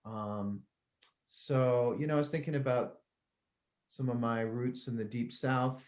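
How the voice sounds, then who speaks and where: Relaxed, rambling middle-aged man. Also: mid-range voice, measured pace. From Connecticut, US. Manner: relaxed, rambling, laughs easily. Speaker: musician guest. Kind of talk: casual interview chat.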